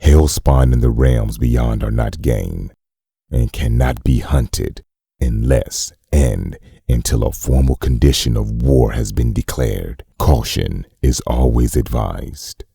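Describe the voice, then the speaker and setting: Guy acting as super deep husky narrator, grave and formal, reading out a monster hunting manual. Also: dark tone, dry recording, clean studio sound, heavily acted. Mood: cold, instructive. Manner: measured, formal, instructional. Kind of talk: scripted narration.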